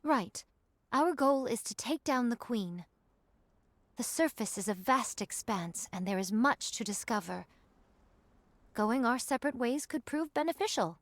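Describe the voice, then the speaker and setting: Stoic swordswoman, fairly high voice. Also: animated, a little slow, dry recording. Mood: composed. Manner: formal, archaic wording, composed. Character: composed archaic-speaking female swordswoman, stoic and dignified. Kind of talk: video game voice acting.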